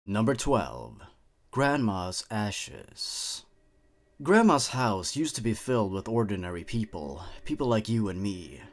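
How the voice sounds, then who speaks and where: A man with a fairly low voice reads eerie short stories aloud in a measured, scripted way. His delivery grows hushed and quiet as the tension builds, and it keeps an even, deliberate pace. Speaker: horror story narrator. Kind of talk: scripted horror narration.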